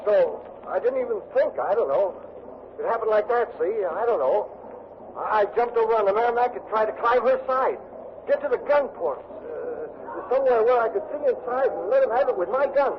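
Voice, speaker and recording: Young bosun's mate, high voice, humble and torn up, halting slangy talk, old radio with faint noise. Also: male voice, expressive. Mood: distraught, intense. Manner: halting, colloquial, self-effacing. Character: modest, distraught Union Navy bosun's mate. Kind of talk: 1940s radio acting. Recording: muffled, slightly noisy 1940s radio recording.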